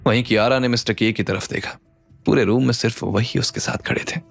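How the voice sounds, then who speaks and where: A man's voice: medium pitch, steady tone, brisk, gravelly. Male drama narrator over background music. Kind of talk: dramatic scripted Hindi story narration, voicing the characters.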